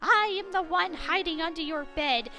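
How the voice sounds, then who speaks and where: A woman voicing an excitable female cartoon cat princess who plays superhero with theatrical, boastful exclamations. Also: very high-pitched, normal speed, soft background music, pitched above the performer's own voice, exaggerated voice. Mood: mock menacing. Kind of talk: cartoon-style dramatic reading.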